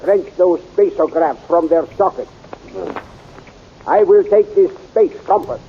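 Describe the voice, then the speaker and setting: A man playing an eccentric older scientist in an old radio serial, brisk and matter-of-fact. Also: high voice, narrow, tinny audio, slight background noise. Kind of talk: 1930s radio acting.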